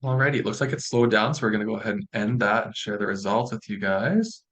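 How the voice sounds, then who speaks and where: Young man, Canadian accent, lower register. Friendly, reassuring student presenter for an informal online session. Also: lively intonation, fast, fairly bright tone. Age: young adult.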